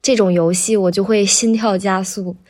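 A woman speaks as an interview guest, a working voice actor. Her pitch sits in the middle, and she talks casually, recounting things in an animated storytelling manner. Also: moderate pace.

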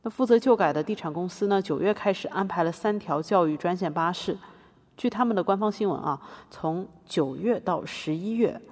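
A woman's voice: on the low side, a little fast, darkish tone, slightly rough. A woman playing an earnest, guilt-ridden, defiant activist artist arguing her own defence in a podcast courtroom drama. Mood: earnest, regretful. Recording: hall-like long reverb.

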